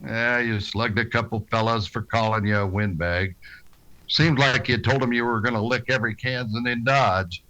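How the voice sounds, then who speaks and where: A man playing a steady, dry-humored Old West marshal in an old-time radio western, terse and deadpan. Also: medium pitch, normal speed, natural voice, rough recording. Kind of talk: old-time radio western acting, with first-person narration.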